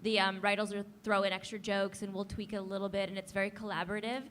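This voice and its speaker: A woman's voice: medium pitch, little pitch movement, quick pace, fairly bright tone, slight background noise. A woman voice actress on a convention panel, explaining how recording sessions work. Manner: clear, explanatory.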